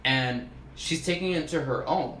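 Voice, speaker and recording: A man's voice: a little high, moderate pace, a bit bright. A man co-hosting a casual podcast with friends, rambling and swearing freely, a little tipsy from drinking. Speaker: podcast co-host. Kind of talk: casual chat among friends. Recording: echoey room with faint ambient noise.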